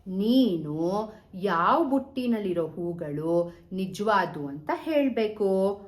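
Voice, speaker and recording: Woman as a proud queen, slow pace, measured, challenging, children's storybook. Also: medium pitch, expressive. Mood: measured challenge.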